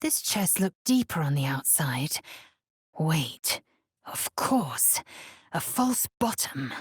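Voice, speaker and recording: Game role: imperious female alien warrior, deep for a woman, deliberate pace, haughty, clipped and commanding. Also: sing-song, breathy edge, no echo, clean studio sound, exaggerated voice. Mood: wary, observant. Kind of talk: video game character voice lines.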